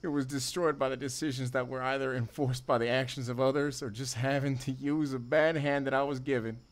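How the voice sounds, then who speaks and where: An actor playing a remorseful drifter confessing a violent past in audio theater, speaking haltingly and broodingly. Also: male voice, medium pitch, fairly even intonation, natural voice. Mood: anguished confession.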